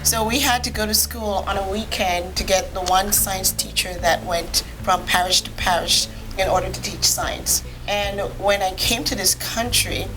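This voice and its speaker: A woman from Jamaica speaks as an interview guest in a medium-pitched voice. Her storytelling is conversational, measured and matter-of-fact. Music is clearly audible behind her. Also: rough recording. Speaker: podcast guest, physician.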